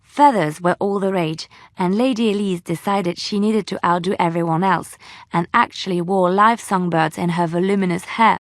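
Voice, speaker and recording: A woman's voice: mid-range voice, no echo, clean studio sound, natural voice. An actress voicing a devout, playful young woman adventurer in a video game, sincere and chatty in manner. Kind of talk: video game character dialogue.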